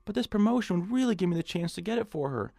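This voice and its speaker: An actor playing a nervous, hapless hypochondriac in an audio drama, fretful and stammering. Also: male voice, high-pitched, animated, fast talker, gravelly, dark tone, dry recording, exaggerated voice. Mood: eager, excited. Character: nervous, whiny nebbish hypochondriac man, eager and hapless. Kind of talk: audio drama acting in old-time radio suspense style.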